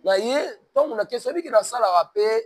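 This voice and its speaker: Congolese pastor, high voice, emphatic sermon cadence. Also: male voice, expressive, fairly quick. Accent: Congolese French. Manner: emphatic, mixes French and Lingala, sermon cadence. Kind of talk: preaching-toned interview talk.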